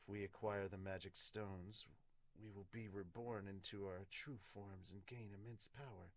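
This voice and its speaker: A man's voice: lowish voice, steady tone, moderate pace, a bit bright. A man voicing a cold, vengeful male elf with solemn menace in a manga dub. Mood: menacing.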